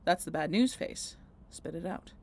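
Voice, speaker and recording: A woman's voice: lowish voice, expressive, normal speed, darkish tone, breathy edge. A woman playing a snarky, teasing female vampire in an audio roleplay, talking to the listener in a wry, conversational way. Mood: exasperated. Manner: sardonic, wry, playful, conversational. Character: snarky, swearing female vampire road-tripper, teasing and dramatic.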